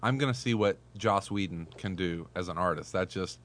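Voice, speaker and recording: A man's voice: low voice, normal speed, fairly bright tone. A man in his thirties hosting a casual panel chat, talking hesitantly with many fillers and repeated words. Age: 30s. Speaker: podcast host.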